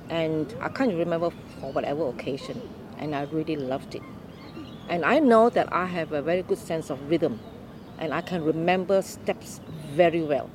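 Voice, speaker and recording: An older woman from Malaysia telling her life story in an unhurried, explaining way during an interview. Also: deep voice, background noise. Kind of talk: oral history interview.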